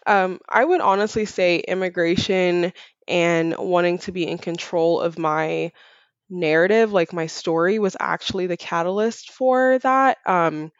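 A woman's voice: fairly low pitch, dry recording, lo-fi sound. A woman from Barbados answering casually and informally as a podcast guest, sprinkling in "like" and "you know". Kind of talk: casual conversational interview answers.

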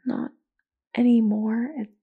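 An anxious, searching young woman in a naturalistic audio drama. She talks slowly and haltingly, with fry in her voice and breathy sighs, as if confiding to a recorder. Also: on the high side, little pitch movement, narrow, tinny audio, full character voice. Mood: uneasy, reflective. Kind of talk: naturalistic found-footage audio drama acting.

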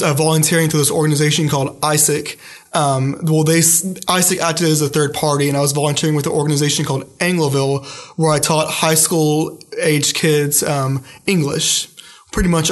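A young man with a slightly high but flat voice, speaking haltingly and filling pauses with little hesitations. He sounds like a student chatting through an interview, circling back and restarting his thoughts. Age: young adult. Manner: hesitant, many fillers and restarts. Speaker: student guest. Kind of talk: casual interview.

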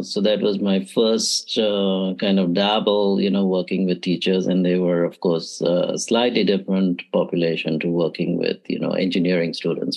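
Deep gravelly-voiced man with many fillers. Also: a little mellow, poor audio. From India. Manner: frequent "uh" and "you know" fillers, thoughtful. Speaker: math education professor, podcast guest. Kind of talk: relaxed interview answers.

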